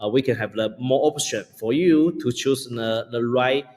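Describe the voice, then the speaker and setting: A man's voice: medium pitch, darkish tone. Male founder, English, stop-start answers, reverberant room. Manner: halting, repeats words, many fillers. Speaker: company founder, guest. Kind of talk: casual interview answers.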